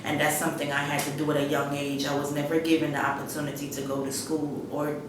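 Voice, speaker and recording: A middle-aged woman from Guyana telling her life story in a halting, plain-spoken way, repeating phrases as she goes. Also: completely flat delivery. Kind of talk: personal testimony, unscripted storytelling. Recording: echoey room, distant mic, rumbling noise.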